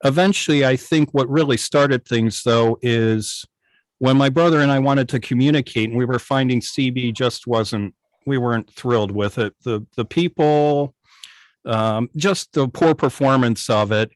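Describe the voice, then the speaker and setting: Middle aged guy on a video call telling old stories, kinda halting, normal pitch, no room echo. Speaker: guest, radio hobbyist. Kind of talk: casual reminiscing in an online club interview.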